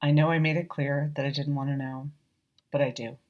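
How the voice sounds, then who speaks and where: A woman reading her own short story as measured, reflective literary narration, lightly acting out the dialogue. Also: low-pitched, little pitch movement, lo-fi sound.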